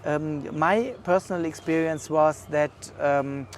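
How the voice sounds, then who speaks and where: A man with a German accent speaks in a slightly raised voice, pausing often and reaching for words as he thinks aloud. He is recorded outdoors, with faint traffic and city noise behind him. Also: on the high side. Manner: thoughtful, hesitant, many fillers. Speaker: curator being interviewed. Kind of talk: casual interview. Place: outdoors at an art exhibition.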